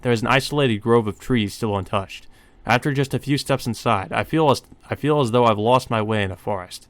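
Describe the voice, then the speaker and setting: A man's voice: mid-range voice, no room sound. A man narrating a visual novel aloud as a let's play host, with brief asides of his own.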